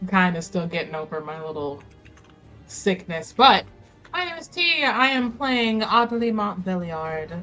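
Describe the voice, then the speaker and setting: A woman with a bright, forceful voice at a middling pitch introduces her game character in casual chat. She is playful and a bit halting. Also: very animated, faint music behind. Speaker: player on tabletop roleplaying show.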